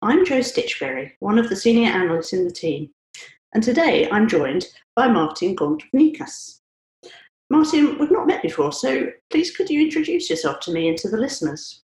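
A woman's voice: on the high side, sing-song, darkish tone. Polite podcast host woman, roomy sound. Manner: polite, measured, with some "um"s. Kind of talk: podcast hosting.

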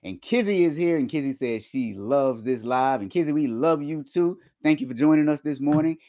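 A man's voice: on the high side, moderate pace, dark tone. A man hosting a live podcast, joking casually with his guest and reading out viewer questions. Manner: joking, conversational. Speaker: podcast host. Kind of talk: casual live-stream chat.

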